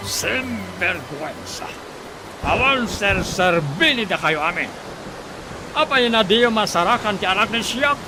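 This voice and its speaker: An actor playing an angry, domineering, scornful boss in a Philippine radio drama, cursing and interrogating. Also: male voice, high voice, animated, a little slow, over music. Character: angry, domineering male boss hunting his child's assailant. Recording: clearly noisy radio drama audio.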